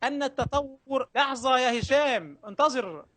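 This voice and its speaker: A man's voice: very high voice, animated, normal speed, pushed and bright, no echo. A man debating combatively, pressing challenges and repeating himself insistently.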